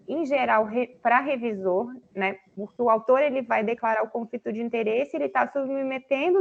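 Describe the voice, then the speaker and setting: A woman's voice: fairly high pitch, gravelly. A woman guest lecturer answering seminar questions over a video call, explaining at length with many fillers. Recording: slightly dull online audio.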